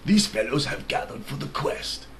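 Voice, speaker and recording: Man as male royal official, storybook reading, bright voice, formal, dutiful, deferential. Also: mid-range voice, big pitch swings, moderate pace, creaky, slight background noise, pitched above the performer's own voice. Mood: patient, formal.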